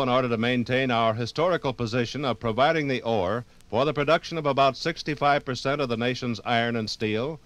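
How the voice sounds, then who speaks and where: A man narrating a scripted 1950s radio documentary in a formal, measured announcer manner. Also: mid-range voice, a bit bright. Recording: 1950s radio LP, faint music.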